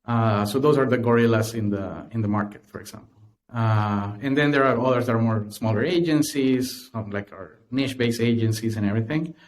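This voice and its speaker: Man, Honduran Spanish accent, podcast guest, lots of uh and restarts, mid pitch. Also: brisk. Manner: hesitant, many fillers and restarts. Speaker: guest, tech company cofounder. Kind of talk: podcast interview.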